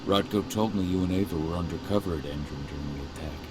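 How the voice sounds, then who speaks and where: An actor playing a gruff, weary, remorseful middle-aged father and warrior in a video game cutscene, speaking low and tersely. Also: fast talker, heavy background noise, close to an everyday voice. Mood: serious, questioning. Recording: music behind the voice.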